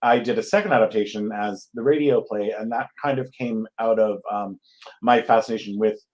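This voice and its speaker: A man from Connecticut speaks as an interview guest in a slightly low voice. He is casual and thoughtful, often pausing as he searches for the next phrase. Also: darkish tone. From Connecticut, United States. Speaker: guest playwright.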